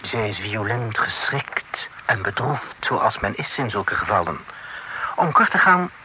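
A florid, bright-voiced gentleman envoy. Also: fairly low pitch, sing-song, measured pace, exaggerated voice. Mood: grave. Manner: formal, flowery speech sprinkled with French. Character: talkative, worldly gentleman envoy reporting grave news. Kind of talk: period radio drama acting. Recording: noisy old radio play recording.

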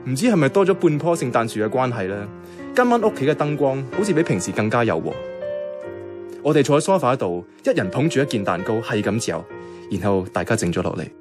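Light music sits behind a young man of medium pitch played by an actor with a Hong Kong Cantonese accent. He is a soft-hearted, modest office worker in a radio drama. His way of talking is casual and colloquial. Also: big pitch swings, fairly quick, natural voice. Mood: nervous, reflective. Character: soft-hearted, self-effacing young male bank worker, secretly devoted.

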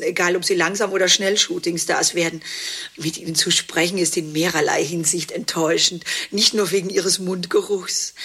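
A woman's voice: lowish voice, a bit bright, breathy edge. A woman acting in a German radio play, with a wry, ironic way of narrating and speaking.

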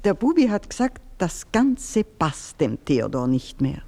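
An actress playing an older baroness and mother in a classic radio play, speaking in a brisk, commanding manner. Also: low voice, heavily acted. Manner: brisk, commanding, lively inflection. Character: aristocratic older woman, the baroness of the house.

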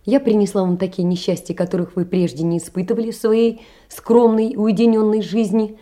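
An actress playing a poor, tender young woman in an audio play, earnestly and sorrowfully reproaching in measured literary phrases. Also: medium pitch, fairly even intonation, dark tone, lightly acted. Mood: sorrowful, pained. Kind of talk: theatrical audio play, reading a letter aloud.

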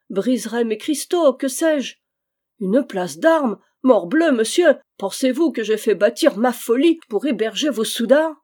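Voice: mid-range voice, warm tone, close to an everyday voice. A reader voicing a fussy, wealthy male royal steward who exclaims and frets over his possessions, in an audiobook reading.

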